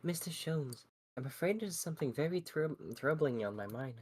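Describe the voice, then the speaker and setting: A man voicing a polite, earnest young assistant in a mystery game, speaking formally and in a measured way. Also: on the high side, lively intonation, slightly rough. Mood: troubled, polite.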